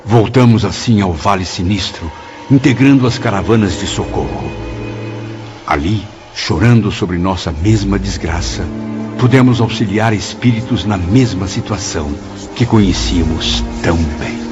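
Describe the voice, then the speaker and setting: A man's voice: sits low, normal speed, lo-fi sound, over music, lightly acted. An actor playing an earnest, sorrowful spirit writer who narrates his afterlife memories in formal, literary language for a radio drama.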